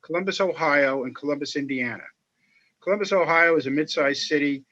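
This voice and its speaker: A man speaking as a panelist on a video call, explaining at a measured pace with many fillers. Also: on the high side, sharp tone. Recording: muffled video call audio.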